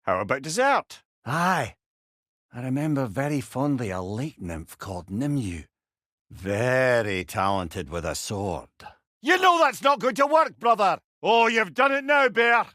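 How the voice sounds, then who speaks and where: Lively Scottish talking head, Mimir. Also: male voice, mid-range voice, unhurried, no room sound, studio quality. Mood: wry, teasing, then urgent shouting. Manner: wry, eloquent, animated storytelling. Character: Mimir, witty talking severed head, old wise counsellor. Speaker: companion character in an action game. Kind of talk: video game character voice lines.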